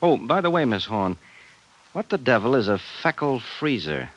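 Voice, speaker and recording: An actor playing a jittery, bewildered ordinary office man in a 1950s radio drama, with an excitable, exclamatory manner. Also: mid-range voice, moderate pace, dull audio. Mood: puzzled.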